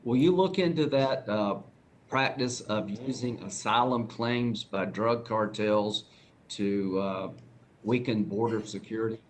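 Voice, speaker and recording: A man's voice: fairly low pitch, unhurried, a little hiss or hum. A man with a Southern accent, a senator pressing a nominee with folksy, direct questions at a hearing.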